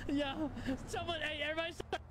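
A man's voice: very high-pitched, level tone, fairly quick, background noise. A young man streaming a roleplay game, chatting crudely with his chat and friends in slang. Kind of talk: casual livestream chat.

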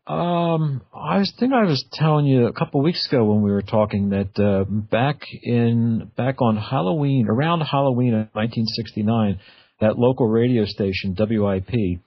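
A man's voice: medium pitch, animated, normal speed, husky. A middle-aged man hosting a radio interview in a chatty, informal, conversational way. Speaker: radio show host. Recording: dull, band-limited radio audio.

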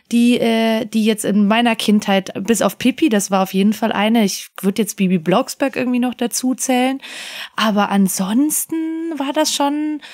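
A woman's voice: medium pitch, a little mellow, no room sound, clean studio sound. A woman chatting casually as a podcast panelist, often tagging her sentences with little questions. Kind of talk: casual conversational chat.

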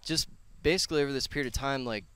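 A man's voice: mid-range voice, rapid-fire, bright, forceful tone, clean tone, dry recording. A man in his twenties talking casually and earnestly as an interview guest, rambling and slangy, on a headset mic outdoors. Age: 20s. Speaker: podcast guest, personal trainer and gym owner. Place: outdoors on a concrete patio.

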